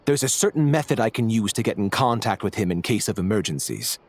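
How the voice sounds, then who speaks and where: A man's voice: fairly low pitch, animated, fast talker. A man voicing a wary, obliging smuggler turned informant in a video game, explaining things in a guarded way. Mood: uneasy, cooperative.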